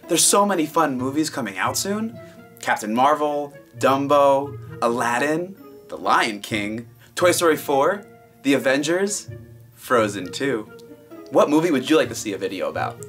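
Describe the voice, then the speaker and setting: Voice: medium pitch, big pitch swings, moderate pace, fairly bright tone, far from the mic, soft background music. A performer voicing an easygoing, pun-loving young man, chatty and laid-back, in a cartoon. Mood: friendly.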